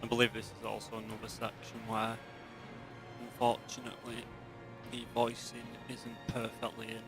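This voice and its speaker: A man talks through a game in a casual let's play style. His pitch stays level and even, with little rise or fall. Faint music plays behind him as he explains things to viewers. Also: normal speed. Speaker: gameplay commentator. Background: video game music.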